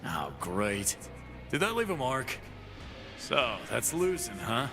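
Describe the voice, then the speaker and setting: An actor voicing a cocky, brawling male fight-pit boss in game voice lines, full of gruff, boastful trash talk, with game music behind. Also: mid-range voice, sing-song, takes it slowly.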